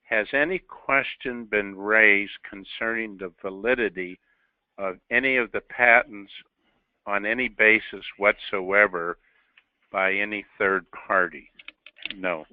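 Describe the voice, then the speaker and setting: A male company executive answering investor questions on a telephone call, measured and pausing between short phrases. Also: fairly low pitch, fairly even intonation, dry recording. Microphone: telephone on a conference call. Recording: narrow dry telephone line.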